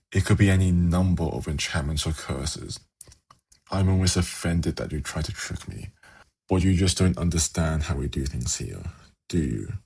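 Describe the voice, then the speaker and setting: A man playing a suave, haughty demon prince in an ASMR fantasy roleplay, speaking in a measured, formal, silkily condescending way with a gravelly, husky voice. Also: a bass voice, a little mellow, full character voice. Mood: cold disdain.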